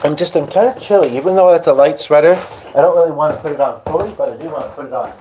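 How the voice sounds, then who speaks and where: A man's voice: on the high side, rapid-fire, warm tone, slight background noise. A man live-streaming from home at his piano, chatting loosely to his viewers as he sets up to play. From Hungarian. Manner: rambling, talks to viewers while setting up. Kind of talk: casual live-stream chat. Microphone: phone.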